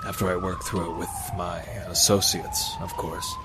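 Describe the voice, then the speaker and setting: An actor playing a grave, seasoned crime fighter with formal, earnest speech in a radio superhero drama. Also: male voice, deep voice, flat delivery, moderate pace, background noise, lightly acted. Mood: solemn.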